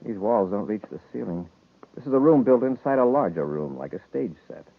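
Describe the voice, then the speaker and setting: An actor playing a commanding, sharp-witted space commander with an authoritative, composed manner in a 1950s radio serial. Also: male voice, on the low side, animated, brisk, vocal fry. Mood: intrigued, observant. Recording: muffled vintage radio recording.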